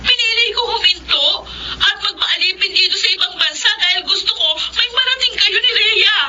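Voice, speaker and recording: A woman's voice: very high-pitched, moderate pace, pushed and bright, heavily acted. An actress playing a self-sacrificing young woman working abroad for her siblings, tearful and reproachful in an emotional Filipino radio drama. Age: young adult. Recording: muffled, noisy lo-fi radio broadcast.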